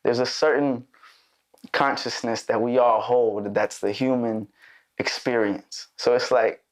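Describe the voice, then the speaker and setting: A young man born in Mexico and raised in Chicago, a musician guest chatting loosely in a studio interview, full of fillers and restarts. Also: medium pitch. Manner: relaxed, rambling, many fillers and restarts. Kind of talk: casual interview chat.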